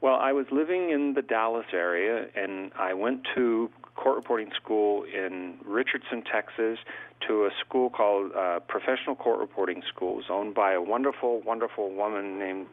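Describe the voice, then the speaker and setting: Middle-aged california guy, deep-ish voice, sounds like he's on a phone, chatty long answers. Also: normal speed. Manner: thoughtful, long storytelling answers. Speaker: guest court reporter. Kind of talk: conversational interview. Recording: tinny phone-like line.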